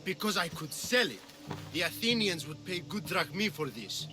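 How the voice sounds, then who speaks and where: A man playing a sly, injured thief in a video game, bitter, scornful and quick to bargain. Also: a little high, very animated, music in the background. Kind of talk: video game cutscene acting.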